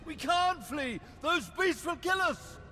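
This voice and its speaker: A bitter, desperate enemy officer in a space war game. His dramatic voice runs high and swings widely, ringing with long reverb. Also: lively intonation, takes it slowly, pushed and bright, soft background music, exaggerated voice. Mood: panicked. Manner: dramatic, indignant. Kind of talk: video game cutscene acting.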